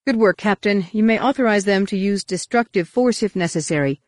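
A woman voicing a calm, authoritative male leader of space knights in an audiobook. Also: medium pitch, brisk, no room sound, studio quality, pitched above the performer's own voice, lightly acted. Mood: commanding. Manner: smooth, authoritative.

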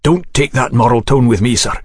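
A man voicing an anxious, defensive English gentleman official in an audiobook, clipped, aggrieved and bitter. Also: medium pitch, a little fast, slightly rough, no room sound, clean studio sound, lightly acted. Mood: indignant. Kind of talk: audiobook character dialogue.

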